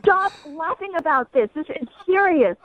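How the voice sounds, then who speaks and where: A woman talking on the telephone in an animated, insistent way, heard over a band-limited line. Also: extremely high pitch, moderate pace. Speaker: prank call target. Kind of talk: phone conversation. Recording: band-limited phone line on radio show.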